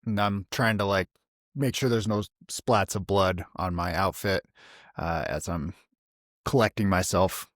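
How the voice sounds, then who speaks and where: Middle-aged man, tabletop player, lowish voice, casual chat, dry room sound. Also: steady tone, unhurried, fairly bright tone, no echo.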